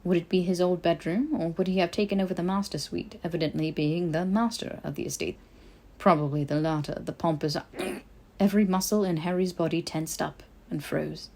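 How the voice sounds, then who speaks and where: A woman reading a story aloud as an expressive audiobook narrator. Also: on the low side, fairly quick. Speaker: podfic narrator. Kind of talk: expressive scripted fiction narration.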